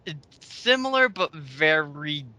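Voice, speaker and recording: A man's voice: a little high, big pitch swings, takes it slowly, pushed and bright. A man giving casual, mocking commentary on online comments in a sarcastic, blunt and sweary way.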